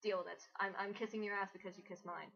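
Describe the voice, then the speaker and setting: A woman's voice: mid-range voice, steady tone, fast, gravelly, fairly bright tone. A woman hosting a casual, mocking commentary, jokey and exasperated, with frequent swearing. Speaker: host of a dramatic reading commentary. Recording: dull lo-fi home recording.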